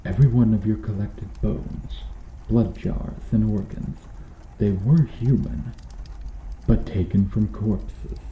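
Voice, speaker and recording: A cruel medieval knight played by a man, low in pitch and very slow in delivery. He is brooding, vengeful and menacing, speaking in formal, old-fashioned phrases as a dramatic ASMR roleplay. The sound is notably roomy. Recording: muffled, roomy sound with background noise.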